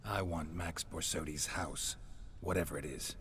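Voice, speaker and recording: An actor playing a weary, dry-witted monster hunter in a video game, speaking in terse, blunt, deadpan lines. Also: male voice, very deep voice, moderate pace, a little hiss or hum. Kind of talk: understated video game acting.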